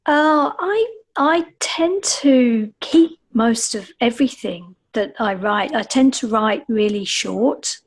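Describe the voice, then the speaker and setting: A woman speaking as a guest author in a casual panel interview, piecing her answers together in a thoughtful, halting way. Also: fairly high pitch, expressive.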